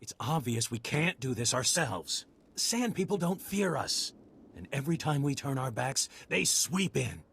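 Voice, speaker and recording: A man's voice: mid-range voice, sing-song, measured pace, vocal fry, a bit bright, slightly rough, full character voice. Blunt, feisty frontier militiaman. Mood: discouraged. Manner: blunt, folksy. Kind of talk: video game voice acting.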